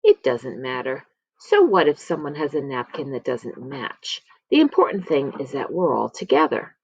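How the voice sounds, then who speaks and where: Woman reading as animated, forgetful storybook grandmother. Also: rumbling low, moderate pace, breathy edge, no echo, heavily acted. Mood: reassuring. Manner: exclamatory, self-chiding, drops in Spanish phrases. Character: kindly, forgetful, busy grandmother who shrugs off mishaps. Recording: slightly dull, lo-fi close recording.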